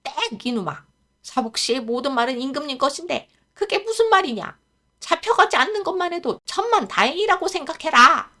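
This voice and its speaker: A woman's voice: high-pitched, sing-song, fairly quick, pushed and bright, voice pushed up, exaggerated voice. A woman voicing an old male stable groom who scolds and frets in a storybook reading. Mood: scolding. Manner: scolding, anxious.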